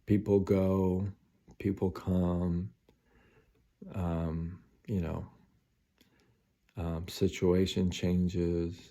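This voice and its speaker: A man's voice: low-pitched, level tone, drawn-out words, long pauses, dark tone. A man in his forties, hosting a live stream, talking casually and rambling late at night with many fillers and repeats. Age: 40s.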